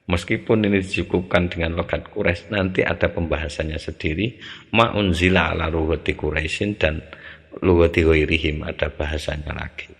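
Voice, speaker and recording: Very deep gravelly man teaching a text. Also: steady tone, a little fast, a bit bright, big-room sound. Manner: recites Arabic phrases, glosses them in Javanese, then explains in Indonesian. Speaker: teacher of a classical text study. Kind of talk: reading and translating a classical Arabic text word by word, then explaining.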